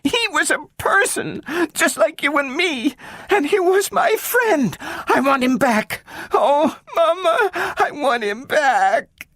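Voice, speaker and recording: A man reading aloud and voicing an eager little girl who turns tearful, in a shrill pleading voice. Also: very animated, unhurried, a bit bright, no echo, studio quality, heavily acted.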